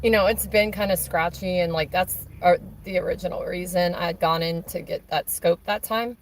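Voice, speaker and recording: A woman with a hoarse, raspy voice chatting casually on a live stream, rambling with many fillers and restarts. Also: lowish voice, fairly even intonation, moderate pace, slight background noise, low-quality recording. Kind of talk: casual chat on a phone call during a stream.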